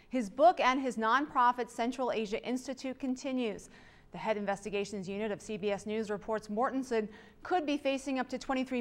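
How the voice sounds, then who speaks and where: A woman's voice: a little high, a bit bright. A middle-aged woman reading scripted television news as an anchor in a studio.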